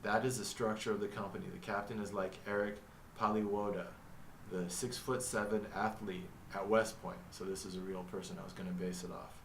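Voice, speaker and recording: Low, flat-voiced man in an echoey room. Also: recorded from a distance, slight background noise. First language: Arabic. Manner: halting, thinking aloud with restarts. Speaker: vlogger narrating his own story. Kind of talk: reflective monologue, reading from notes. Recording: echoey room, mic set back.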